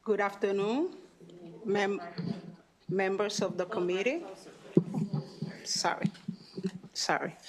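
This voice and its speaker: Slow-speaking woman from Honduras testifying. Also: mid-range voice, low-quality recording. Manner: slow, careful reading. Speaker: community witness. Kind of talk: reading prepared testimony.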